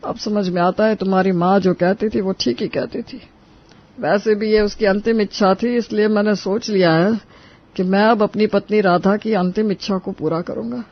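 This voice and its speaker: A man acts out a stubborn older father-in-law in a family cartoon tale. He is high-voiced and emphatic with a folksy turn of phrase, on a slightly dull track. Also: fairly even intonation, normal speed. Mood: firm. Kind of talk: Hindi moral cartoon story acting. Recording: dull, band-limited track.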